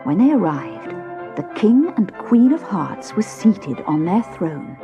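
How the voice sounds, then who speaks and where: A woman's voice: medium pitch, very animated, takes it slowly, muffled recording. A woman, reading a children's storybook expressively. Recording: cassette storybook with faint music.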